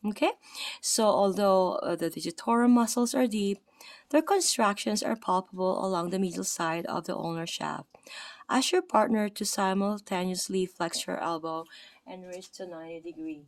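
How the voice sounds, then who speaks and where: A woman's voice: mid-range voice, dry recording. A tired woman with a Filipino accent reading an anatomy textbook aloud, correcting her own slips and adding "okay" and "right?". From Philippines. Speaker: anatomy study presenter.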